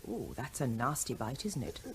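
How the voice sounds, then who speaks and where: An actress playing a calm woman doctor who reassures a bitten child in an old radio drama. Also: very deep voice, normal speed, gravelly, husky, full character voice. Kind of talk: 1970s radio drama acting.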